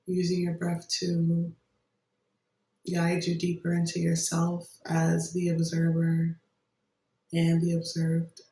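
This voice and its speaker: A meditation guide giving gentle, unhurried instructions with long pauses between phrases. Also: mid-range voice, monotone. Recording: roomy echo, distant microphone.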